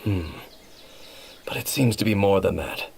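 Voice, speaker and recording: An actor playing an aloof, serious male investigator in a video game, speaking in a formal, measured, dry and condescending way. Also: sits low, creaky, darkish tone, slight background noise. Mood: serious, pondering.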